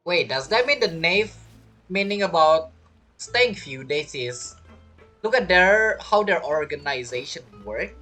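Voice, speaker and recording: Girl gamer reacting to a story quest, low voice but animated, super informal, music in the background. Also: a little slow. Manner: informal, musing aloud. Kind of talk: casual game playthrough commentary.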